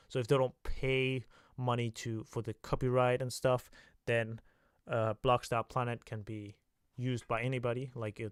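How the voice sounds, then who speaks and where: Smooth-voiced young man rambling on a livestream. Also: mid-range voice, dry recording, clean studio sound. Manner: rambling, hesitant, many filler sounds. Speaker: livestream host. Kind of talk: casual livestream chat.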